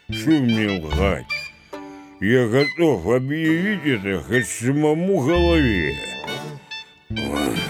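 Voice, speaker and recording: An actor in a Russian audio play playing a drunken, grumbling village peasant, muddled and defiant, with a slurred drawl. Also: male voice, on the low side, gravelly, heavily acted. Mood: maudlin, then defiant, cursing. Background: plucked guitar music.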